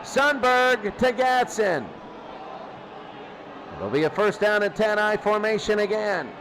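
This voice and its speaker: A man doing excitable play-by-play football commentary in a radio style, often repeating key phrases. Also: sits high, slow pace, background noise.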